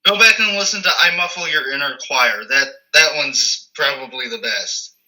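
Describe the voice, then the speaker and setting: A man's voice: fairly high pitch, normal speed, pushed and bright, low-quality recording. A man as guest in a casual interview, easygoing and stammering on the starts of sentences. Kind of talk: casual chat.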